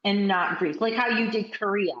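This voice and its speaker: Woman, 60s, chatty and animated, mid-pitched, roomy slightly dull call audio. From United States. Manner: chatty, animated storyteller, quick bursts. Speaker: workshop participant. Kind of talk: casual video call chat. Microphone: video call.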